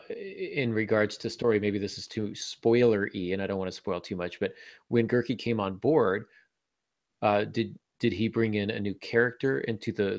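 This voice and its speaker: Gravelly, low-voiced man hosting a podcast. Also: fairly bright tone, dry recording. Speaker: podcast host and author.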